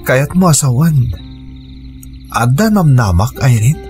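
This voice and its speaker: An actor playing an earnest, tender young activist in a radio drama, with an Ilocano accent and a sentimental delivery. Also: male voice, mid-range voice, animated, unhurried, a little mellow, lightly acted. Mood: hopeful. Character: earnest young activist man named Noel, tenderly in love. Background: music under the dialogue.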